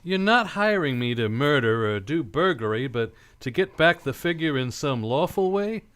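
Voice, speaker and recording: An actor playing a cynical, wisecracking private detective in an old-time radio play, blunt, wry and clipped. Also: male voice, a little high, sing-song, moderate pace, a bit bright. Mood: cool, wry.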